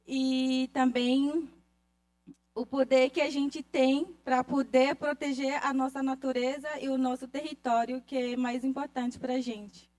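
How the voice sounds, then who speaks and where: High-voiced, slow, halting woman, non-native Portuguese. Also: darkish tone. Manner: halting, searching for words. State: nervous. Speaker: singer presenting a song of their people. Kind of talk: informal spoken introduction to a live audience.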